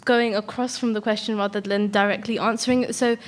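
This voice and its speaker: A woman's voice: medium pitch, fairly even intonation, a little fast. A teenage girl puts a point to a debate panel, polite but direct and colloquial. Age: teens, about 15. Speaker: student audience member. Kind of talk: spontaneous question in a public debate.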